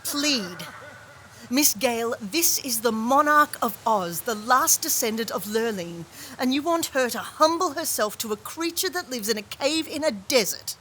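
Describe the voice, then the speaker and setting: A woman's voice: a little high, lively intonation, unhurried, sharp tone, a little hiss or hum. A woman playing a scornful, sardonic, impatient wicked villainess in a fantasy audio drama. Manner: disdainful, sardonic.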